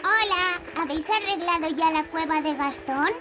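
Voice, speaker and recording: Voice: extremely high pitch, moderate pace, loud music behind the voice. A performer voicing a sweet, earnest little fairy princess girl with a Castilian Spanish accent in a cartoon dub. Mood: sweet, cheerful. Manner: earnest, childlike.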